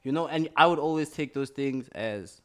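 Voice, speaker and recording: A man's voice: a little high, moderate pace, crowd noise. A young man co-hosting a casual podcast, earnest and encouraging, with slangy talk that keeps ending on "bro". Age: young adult. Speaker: podcast co-host. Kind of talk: casual chat.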